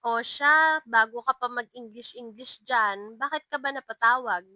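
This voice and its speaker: Woman acting young female teacher, kind, playful, gently explaining, bright lilting high voice, storybook romance. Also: normal speed, no echo. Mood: flustered, teasing.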